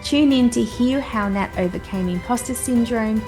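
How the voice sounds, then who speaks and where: A woman's voice: on the high side, normal speed, over music. A woman hosting an interview podcast, reading a scripted intro and then chatting and laughing with her guest. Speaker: podcast host.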